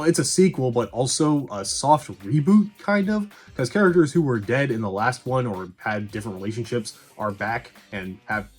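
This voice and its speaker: A man with an average-pitched voice talks through a casual film review. He is blunt and conversational, swearing freely as he gives his opinions like a video host. Faint guitar music plays behind him. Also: breathy edge. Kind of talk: casual movie review commentary.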